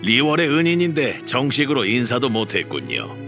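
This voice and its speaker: A man's voice: mid-range voice, huge pitch swings, normal speed, music in the background. A man voicing a jovial, humble old official in a video game, with folksy, old-fashioned speech. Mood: polite, warm. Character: jovial, humble old male official posing as nagging uncle. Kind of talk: Korean video game voice acting.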